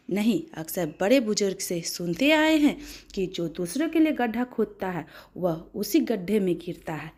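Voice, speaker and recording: Woman, storyteller, dramatic narration, expressive and emotive, very animated pitch, occasional stumbles.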